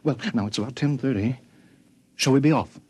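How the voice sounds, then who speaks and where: An actor playing a gushing, excitable, officious male ceremony official with a fussy, exclamatory manner, in 1950s radio drama style. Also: mid-range voice, brisk, heavy background noise, natural voice. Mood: rapturous. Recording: vintage 1950s radio broadcast, music behind.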